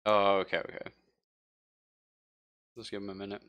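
Low, bright-voiced man running a game. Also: little pitch movement, moderate pace, no echo, highs cut off. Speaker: game master. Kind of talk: casual talk narrating an online tabletop game.

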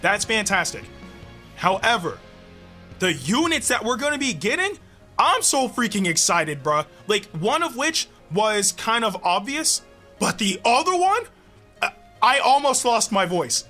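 A young man reacts to a game trailer on a headset, his voice pitched very high and strikingly bright. He drawls slowly between bursts of excited yelling, with faint trailer music playing underneath. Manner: hyped, yelling and laughing, slang-heavy. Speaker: gaming video host. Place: small home gaming room, indoors.

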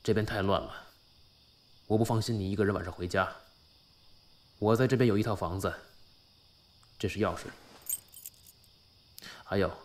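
A man's voice: on the low side, close to an everyday voice. A man acting a brooding, guilt-ridden boss in an audio drama, speaking in restrained, terse phrases.

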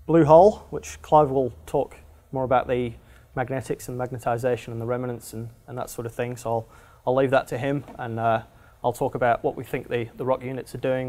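A man, presenting geology at a lectern in a conference room, halting with many fillers and restarts. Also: medium pitch, a little mellow. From Australia. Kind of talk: technical conference talk. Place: conference room with projector screen. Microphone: lectern microphone.

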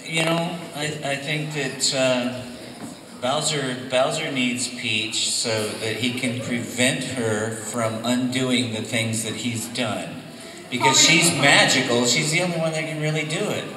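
A man on a convention panel, thinking aloud in a halting, self-mocking way. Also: mid-range voice, background noise. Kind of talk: casual panel talk. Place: large convention hall stage. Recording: echoey hall recorded from far off.

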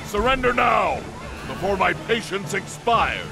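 A man voicing an angry, forceful gorilla fighter shouting threats in a video game battle. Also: high voice, sing-song, measured pace, creaky, over music, full character voice. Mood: furious, commanding.

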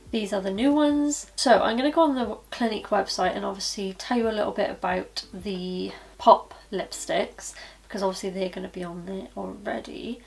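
Young woman, beauty vlogger, husky-croaky with fry, casual chatter, mid pitch. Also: low-quality recording. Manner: chatty, repeats fillers like obviously and so yeah. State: croaky from hay fever. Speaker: beauty vlogger and cosmetics consultant. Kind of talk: casual product review to camera. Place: small indoor studio with plain backdrop. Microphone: camera at head-and-shoulders framing.

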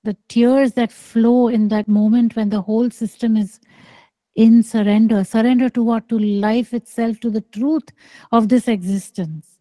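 A middle-aged woman teaches slowly and deliberately, leaving long pauses between soft phrases. Her voice is mellow and dark. The sound is dry and close, over a video-call mic. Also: fairly high pitch, steady tone, no room sound, poor audio. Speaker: spiritual teacher. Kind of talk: slow contemplative spiritual teaching. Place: small room indoors. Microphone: close microphone on a video call.

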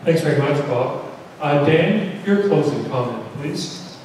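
A man's voice: a little high, animated, slow, a little mellow. A man moderating a debate, briefly and courteously handing over the floor. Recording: echoey hall from far off, background noise.

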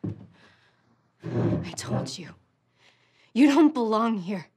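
A woman's voice: a little high, very animated, very slow, heavy background noise, exaggerated voice. An actress playing a stubborn young woman who owns a coffee shop, speaking with hurt. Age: young adult. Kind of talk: film drama acting.

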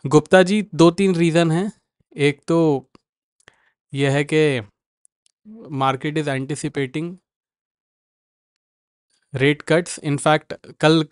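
A man's voice: a little high, smooth, no room sound, clean studio sound. A man with an Indian accent hosting a live market stream, answering viewers by name in a mix of Hindi and English. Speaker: market analyst host.

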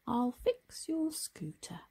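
A woman voicing a gentle, kindly male tortoise in a children's storybook, drawing out words in a soothing way. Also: fairly high pitch, wildly swooping pitch, takes it slowly, vocal fry, slightly rough, full character voice. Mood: patient, kindly. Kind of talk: children's picture book read-aloud.

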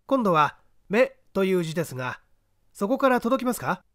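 A man's voice: high-pitched, very animated, normal speed, fairly bright tone, no room sound, studio quality. A man voicing a coolly confident male detective in an audiobook, politely commanding and explaining. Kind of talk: audiobook character dialogue.

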